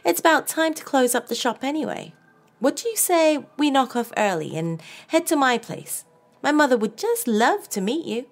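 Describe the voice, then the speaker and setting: A woman's voice: sits high, big pitch swings, normal speed, voice pushed up. A woman voicing a sweet, flirtatious shopkeeper with a Southern accent and a syrupy drawl. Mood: coaxing.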